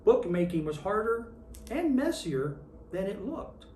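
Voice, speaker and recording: Expressive storybook reader in an echoey room. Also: medium pitch, a little slow. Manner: expressive storytelling with acted character lines. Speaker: storybook reader in character as Santa Claus. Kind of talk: children's picture book read-aloud. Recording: roomy, distant mic with faint noise.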